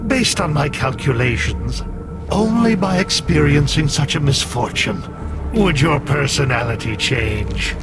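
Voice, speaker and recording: An actor voicing a coldly calculating artificial intelligence in a video game, measured, solemn and condescending. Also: male voice, on the high side, animated, gravelly. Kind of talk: dramatic video game cutscene acting. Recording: loud music under the voice.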